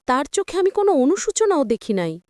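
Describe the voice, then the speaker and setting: A woman's voice: high-pitched, big pitch swings, fairly quick, mellow, dry recording, studio quality, pitched above the performer's own voice. A woman voicing an anguished, betrayed young married woman in a story, with a Bangladeshi Bengali accent and a wounded, pleading manner. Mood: anguished disbelief.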